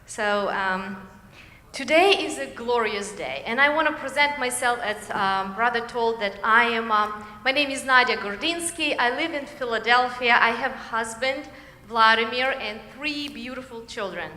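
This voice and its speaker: Ukrainian lady talking slow and halting at church, a bit high-pitched, long echo in the hall. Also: sharp tone. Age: 40s. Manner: halting, with many fillers. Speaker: guest singer. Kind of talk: church testimony and introduction. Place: church sanctuary. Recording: echoey church hall with music.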